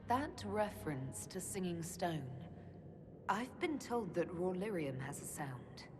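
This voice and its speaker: A composed female leader in a fantasy game speaks a little low. Her delivery is measured and inquisitive, diplomatic in tone. Music is clearly present behind her. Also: sing-song. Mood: curious, serious. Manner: measured, questioning. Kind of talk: fantasy video game dialogue.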